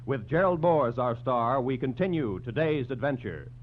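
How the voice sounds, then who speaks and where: A man's voice: medium pitch, lively intonation, moderate pace, slight background noise. An American man announcing in rousing, declamatory 1940s radio style, reading scripted copy. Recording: tinny, humming 1940s radio recording.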